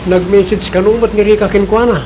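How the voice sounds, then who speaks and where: Grumbling Ilocano village husband played by an actor. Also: male voice, high-pitched, fairly even intonation, a little fast, a little mellow, a little hiss or hum, close to an everyday voice. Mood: suspicious. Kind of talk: Filipino radio comedy drama acting.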